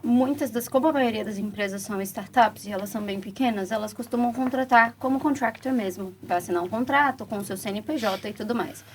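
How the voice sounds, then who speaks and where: A woman's voice: on the high side, a little fast, few pauses, a little hiss or hum. A woman with a Brazilian accent, a recruiter explaining hiring steps on a casual panel. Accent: Brazilian Portuguese. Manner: practical, explanatory. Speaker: international recruiter.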